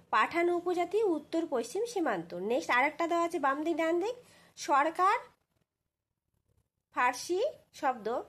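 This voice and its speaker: Female tutor, very high voice, walking school pupils through questions, often checking they follow. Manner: instructional, frequent checking tag questions. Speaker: teacher.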